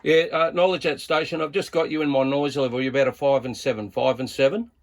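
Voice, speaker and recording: A man's voice: mid-range voice, steady tone, fast talker. A man with an Australian accent gives a casual, hands-on radio gear review as a hobbyist, talking in a laid-back, colloquial, blokey way.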